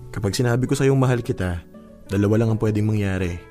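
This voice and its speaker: A man's voice: on the low side, fast talker, dark tone, soft background music, close to an everyday voice. Man acting shy, earnest young boyfriend. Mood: nervous, tender. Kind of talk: Filipino radio drama acting.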